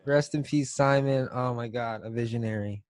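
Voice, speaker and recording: A man's voice: medium pitch, moderate pace, smooth, no room sound. A man co-hosting a podcast in a casual, comedic chat, talking in profane slang. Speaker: podcast co-host.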